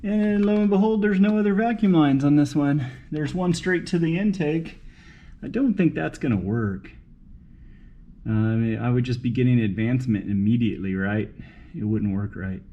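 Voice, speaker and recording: A man's voice: fairly high pitch, moderate pace, highs cut off. A man talking casually to himself as he works on a car, thinking aloud as a home mechanic video host, with noise behind him. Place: working at a car, single uncut recording.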